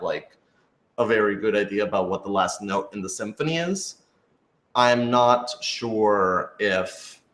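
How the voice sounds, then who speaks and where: A man's voice: lowish voice, a bit bright. A young American man, a director, answering questions casually in a discursive, emphatic way, repeating his phrases. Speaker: director and writer of a radio drama. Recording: echoey room.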